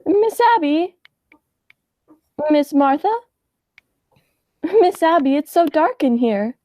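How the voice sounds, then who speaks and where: A spirited young woman in love played by a teenage girl in an amateur radio play. The voice is high, and the delivery is dramatic and insistent, quick to take offence or alarm. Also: animated, dark tone, no room sound. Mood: uneasy.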